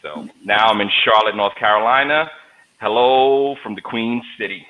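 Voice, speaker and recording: A man in his forties with a very low voice, speaking as an interview guest. He tells his stories with easy, joking humor. The audio sounds dull and lo-fi, like a video call. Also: lively intonation, normal speed, slight background noise. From New York, USA. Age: 40s. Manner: joking, storytelling, conversational. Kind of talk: casual interview talk.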